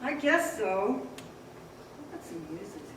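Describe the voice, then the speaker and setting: A woman's voice: mid-range voice, big pitch swings, unhurried. An actress playing a direct, teasing young woman in an old-time radio play. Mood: hurt, annoyed. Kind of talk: old-time radio drama stage acting. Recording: echoey theater, distant mic, noisy.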